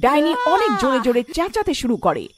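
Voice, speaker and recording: A woman's voice: sits high, wildly swooping pitch, quick pace, over a noisy crowd. A woman reading scripted fairy tale narration as a storyteller.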